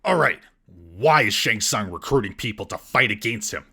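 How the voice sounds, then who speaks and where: Casting: male comedy reviewer, mocking delivery, lowish and raspy, reading a scripted recap. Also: animated, normal speed, sharp tone. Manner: sarcastic, mocking. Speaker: comedic film reviewer and host.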